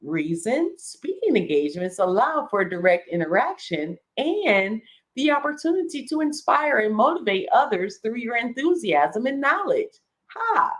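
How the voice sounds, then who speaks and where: A woman's voice: fairly high pitch, very animated, measured pace. A middle-aged woman hosting a live reading, going through a list item by item with casual asides and small self-corrections. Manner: reads list items aloud, chatty asides, self-corrections. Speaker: host reading a document aloud.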